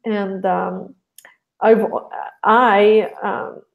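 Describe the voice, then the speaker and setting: A woman's voice: mid-range voice, fairly even intonation, extremely slow, vocal fry, phone-quality sound. A young woman from Russia, a podcast guest talking casually and rambling with many restarts.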